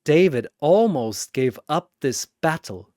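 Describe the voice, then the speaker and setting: A man voicing a menacing godlike villain personality in a story, taunting with drawn-out, theatrical delivery. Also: fairly high pitch, expressive, darkish tone, dry recording, studio quality. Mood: gloating menace.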